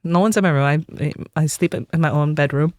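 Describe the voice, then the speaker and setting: A woman's voice: low-pitched, fairly quick, a little mellow, no echo, clean studio sound. A woman in her forties from the United States, a religious sister telling her personal story in an interview, earnest and reflective.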